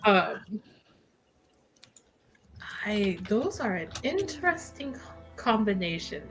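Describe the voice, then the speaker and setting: A woman's voice: mid-range voice, very animated, takes it slowly, over music. A woman talking casually and bantering as a player in a tabletop game.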